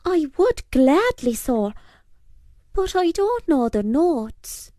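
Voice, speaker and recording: A woman's voice: sits high, sing-song, slow pace, warm tone, dry recording, clean studio sound, pitched above the performer's own voice, exaggerated voice. A woman voicing a plucky, eager young piper boy in a storybook tale. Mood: polite, apologetic.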